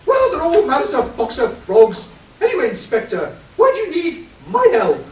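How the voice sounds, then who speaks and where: A man's voice: extremely high pitch, wildly swooping pitch, normal speed, full character voice. Man as a shrieky, swooping British titled lady. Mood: brisk, haughty. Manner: haughty, exclamatory. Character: titled upper-class woman, dim, imperious, breezily callous amateur sleuth. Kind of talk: comic radio play acting. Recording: distant mic, roomy echo, faint hiss.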